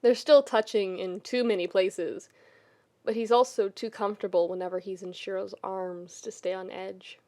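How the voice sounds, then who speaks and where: A woman reading a scripted story as a podfic narrator, with expressive, intimate storytelling. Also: medium pitch, moderate pace.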